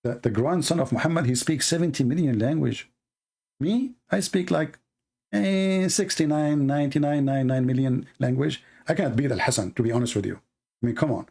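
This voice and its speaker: A man's voice: fairly high pitch, a little fast, vocal fry, a little mellow, breathy edge. A man with a somewhat raised voice hosts a live call-in debate and argues hard. He mocks his opponents with heavy sarcasm. His English is fluent, with non-native grammar. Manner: combative, mocking, sarcastic. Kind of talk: heated live-stream argument.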